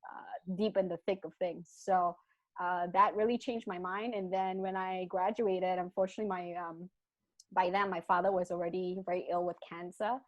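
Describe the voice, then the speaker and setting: A woman's voice: on the low side, moderate pace, no room sound. A woman from Malaysia whose accent mixes British and Malaysian sounds. She talks animatedly, often restarting her sentences. The sound is dull and lo-fi, and dry. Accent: mixed British and Malaysian. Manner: animated, frequent restarts, laughs between thoughts. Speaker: guest. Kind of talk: casual interview talk.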